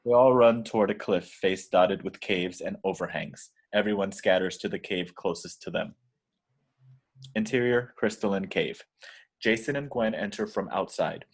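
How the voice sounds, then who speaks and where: Man, deep low voice, scripted narration, reading stage directions. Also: moderate pace.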